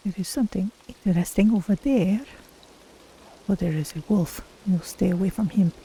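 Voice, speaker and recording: Cautious, curious feline adventurer for a fantasy game, played by a woman: very warm, relaxed timbre, measured musing, light background noise. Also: medium pitch, lightly acted, rough recording. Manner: musing aloud in measured, formal phrasing. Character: curious, cautious female Khajiit cat-folk adventurer. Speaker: streamer voicing a game character. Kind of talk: in-character game playthrough narration.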